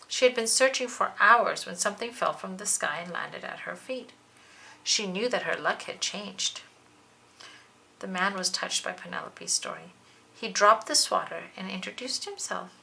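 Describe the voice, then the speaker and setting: Bright-voiced woman reading a children's story. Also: medium pitch, normal speed, creaky, rough recording. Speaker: children's book reader. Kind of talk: expressive scripted storybook narration.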